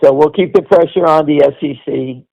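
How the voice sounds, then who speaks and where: A man's voice: on the high side, brisk, gravelly. A man giving informal, off-the-cuff market commentary as host of a call, blunt and insistent, often checking in with the listener. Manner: blunt, insistent, punctuated by "all right?". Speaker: stock commentator hosting a conference call. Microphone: conference call line. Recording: dull, lo-fi radio-like call audio.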